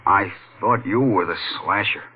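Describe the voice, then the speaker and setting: An actor playing a frightened, jumpy janitor in a 1940s-style radio mystery, speaking in a nervous, ominous hush. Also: male voice, on the low side, unhurried, slightly rough. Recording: tinny old radio recording with music.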